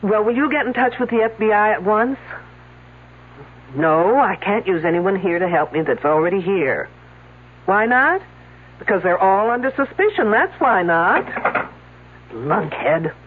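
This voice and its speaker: A brisk lady detective who fires off commanding questions, her voice swinging widely in pitch. The tone is husky, and it comes through a tinny old radio broadcast. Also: very animated. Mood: exasperated. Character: sharp, impatient woman detective. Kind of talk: 1970s radio drama acting.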